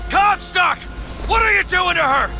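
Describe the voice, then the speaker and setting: A man playing an angry, protective rescuer shouting defiance in a video game. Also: very high voice, animated, moderate pace, vocal fry, over loud music, full character voice. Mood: furious, defiant. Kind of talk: dramatic video game acting.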